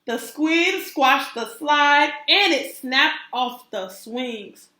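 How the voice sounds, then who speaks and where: High, bright-voiced woman teacher in an echoey room. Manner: expressive, encouraging, pauses to question listeners. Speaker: kindergarten teacher. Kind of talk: read-aloud storytelling for young children with questions to the listeners. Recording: roomy, echoey sound.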